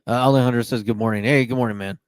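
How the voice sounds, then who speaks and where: A man's voice: mid-range voice, steady tone, racing, smooth, no room sound, clean studio sound. Playful chatty man on a podcast. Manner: chatty, playful, reads out listener comments. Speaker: podcast co-host. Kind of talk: casual podcast chat.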